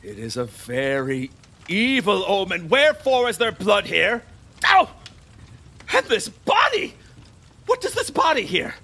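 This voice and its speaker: A man's voice: sits high, huge pitch swings, unhurried, vocal fry, bright, forceful tone, heavily acted. An actor playing a decadent, superstitious, capricious king in a theatrical audio drama, volatile in manner. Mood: alarmed. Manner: volatile, swooping between musing and alarmed exclamation.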